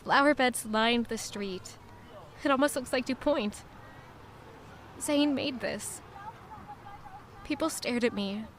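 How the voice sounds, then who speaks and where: A woman playing a quietly resolute young woman in an audio drama, narrating in a measured, reflective way. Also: on the high side, a little hiss or hum, lightly acted. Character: guilt-ridden, quietly resolute young woman returning to her hometown. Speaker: narrator and lead character. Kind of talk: first-person scripted audio drama narration.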